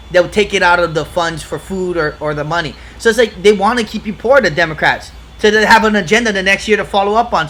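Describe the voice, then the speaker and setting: A man from Hawaii talking in a casual podcast chat, excitable and profane, rambling from one point to the next. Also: high voice. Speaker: podcast guest.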